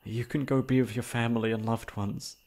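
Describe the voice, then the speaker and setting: A man playing a remorseful, self-loathing young prince in a formal, halting audio roleplay monologue. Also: mid-range voice, level tone, darkish tone, lightly acted. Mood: anguished, pleading. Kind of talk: dramatic ASMR roleplay monologue.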